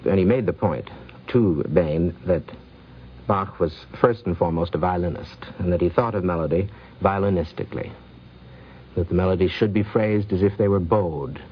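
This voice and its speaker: A man with a very low voice recounts anecdotes in an unhurried, reflective manner. The recording is dull and lo-fi, from 1950s radio. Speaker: film producer.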